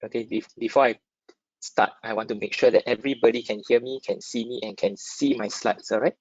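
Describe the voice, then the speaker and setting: A Singaporean-accented man gives an informal webinar talk in a conversational, interactive way, tossing in short check-in questions. His voice sits at a medium pitch, captured dry and slightly dull over an online connection. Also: steady tone, brisk, a little mellow, husky, no echo. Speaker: webinar speaker, stock dealer. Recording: dull lo-fi online call audio.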